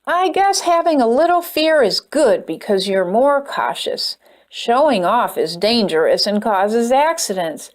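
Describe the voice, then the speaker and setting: A woman's voice: fairly high pitch, very animated, a little slow, pitched below the performer's own voice. A woman voicing a silly, eager male bird in a storybook, upbeat and encouraging.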